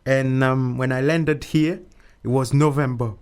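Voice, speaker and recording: A man's voice: medium pitch, steady tone, unhurried, darkish tone. A man from Mauritius being interviewed, talking casually and repeating words often.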